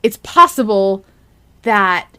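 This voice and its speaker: A woman hosting a fan podcast, chatting excitedly in an emphatic, gushing, halting way. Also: mid-range voice. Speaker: podcast host.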